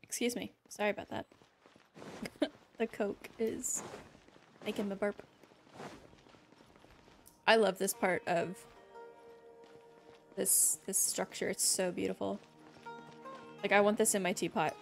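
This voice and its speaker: A woman casually commentating on a game live stream, reacting to it in an emotional, warm way. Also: medium pitch, steady tone, slow pace, creaky, pauses often. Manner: emotional, reacting warmly to the story. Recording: game music behind the voice.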